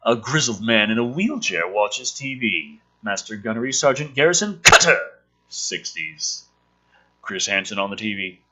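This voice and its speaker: A man's voice: on the low side, lively intonation, normal speed, pushed and bright, husky, rough recording. A man sarcastically reading through a film script and commenting on it in a profane, mocking, theatrical way. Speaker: host of a script review show.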